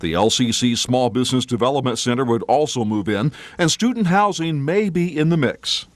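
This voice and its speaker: A man's voice: mid-range voice, lively intonation, moderate pace, no echo. An American man narrating a scripted TV news report as a field reporter.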